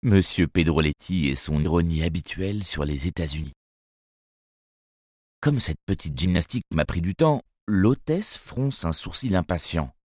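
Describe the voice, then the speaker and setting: A man reading a children's novel aloud as first-person narrator, in a measured, expressive storytelling manner. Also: low voice, slightly rough, no echo, studio quality. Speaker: audiobook narrator.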